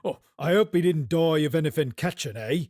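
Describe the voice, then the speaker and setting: A sly, gray-haired old crook, acted out by a male reader in a dramatised storybook. He is nearly seventy, raised in pitch, leering and incredulous as he haggles, in a close, dry recording. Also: fairly quick, darkish tone, dry recording, lightly acted.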